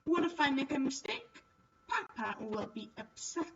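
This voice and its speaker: A woman's voice: high-pitched, very animated, moderate pace, fairly bright tone, distant mic, lo-fi sound, slight background noise. A woman voicing a friendly, chatty young noble girl in a visual novel. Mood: nervous. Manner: bubbly, eager, friendly. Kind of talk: visual novel character reading.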